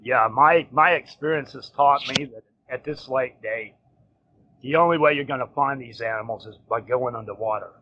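A male host talking in a measured, matter-of-fact way in a casual interview. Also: mid-range voice. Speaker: podcast host. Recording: dull lo-fi audio with faint noise.

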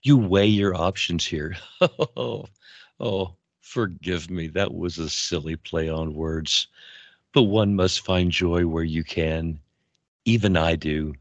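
Male actor as wise fatherly guide in fantasy audio drama: very low, unhurried, gentle, reassuring. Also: steady tone, dry recording. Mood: gentle, kindly. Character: calm, wise, fatherly mystery man guiding souls on a train.

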